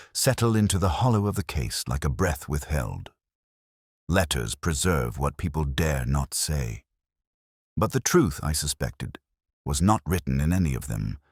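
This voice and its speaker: A man plays a brooding older inspector recounting his case in an audio mystery. The voice is extremely deep and clean of echo, with lively swings in pitch. The delivery is measured, solemn and exact, tinged with melancholy. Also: husky, clean studio sound, heavily acted. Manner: measured, solemn, literary phrasing. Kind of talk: scripted detective story narration.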